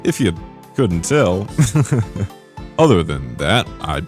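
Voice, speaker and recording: Guy doing a folksy modest cowboy for an audio drama, real deep and croaky, bit of music behind. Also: sing-song, extremely slow, darkish tone, full character voice. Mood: easygoing, proud. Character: gentle, modest young cowboy animal tender. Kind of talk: amateur audio drama acting.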